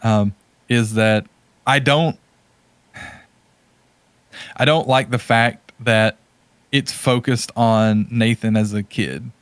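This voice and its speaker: A man's voice: mid-range voice, fairly even intonation, slow pace, long pauses, clean tone, no room sound. A man co-hosting a podcast, chatting casually about films in a hesitant, rambling way and often restating himself. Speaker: podcast co-host. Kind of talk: casual chat about movies and games.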